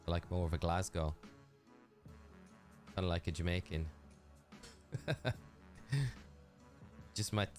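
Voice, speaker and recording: A man's voice: deep voice, fairly even intonation, slow pace, long pauses, darkish tone, soft background music. A man with a Geordie accent chatting casually and rambling on a live game stream, talking to his chat.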